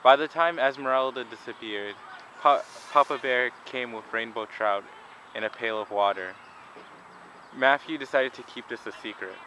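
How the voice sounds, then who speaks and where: A man narrates a personal vlog in a mid-pitched voice, telling events in order like a storyteller. There is faint noise in the recording. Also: normal speed, bright, forceful tone, muffled recording. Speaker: vlogger.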